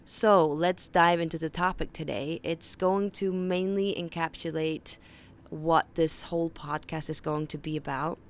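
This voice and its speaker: A woman's voice: sits low, steady tone, unhurried. Earnest young woman with a Faroese accent. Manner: earnest and conversational, with frequent restarts. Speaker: podcast host. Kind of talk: casual podcast monologue. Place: outdoor home balcony. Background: birds and distant ships.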